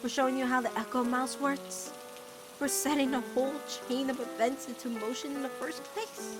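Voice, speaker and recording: A woman voicing a caring, steadfast teenage witch girl in an animated-style comic dub, reasoning firmly and tenderly. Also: high voice, normal speed, music in the background, close to an everyday voice.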